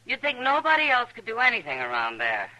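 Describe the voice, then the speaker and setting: A sardonic, world-weary saloon woman in a vintage radio western. Her plain-spoken delivery moves freely up and down with a sharp, bright edge. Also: on the high side, huge pitch swings, brisk, full character voice, rough recording. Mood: wry, weary. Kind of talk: 1950s radio western acting. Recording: old western radio broadcast recording.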